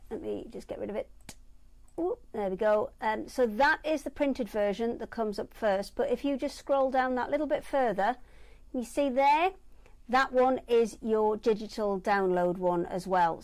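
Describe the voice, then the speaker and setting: A woman's voice: medium pitch, fairly even intonation, measured pace, no echo. A woman hosting a live sewing stream, chatting casually and laughing often. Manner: chatty, laughs often, warm-hearted teasing.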